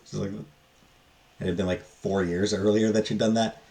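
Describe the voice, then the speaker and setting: A man with a very low-pitched voice talks through a book review off the cuff. His delivery is casual and rambling, with halting phrases that tend to trail off. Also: fairly even intonation, mellow.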